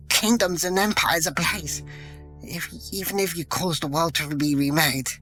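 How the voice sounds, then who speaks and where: Man playing a troubled, sharp-voiced fantasy follower. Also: a little high, rough recording. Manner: formal, halting, grave. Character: troubled, deferential fantasy follower confessing grave doubts. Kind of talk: audio drama acting.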